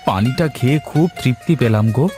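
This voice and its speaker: A man's voice: mid-range voice, fast, dark tone, soft background music, lightly acted. A man playing a grumbling but affectionate village husband in a dramatised moral story.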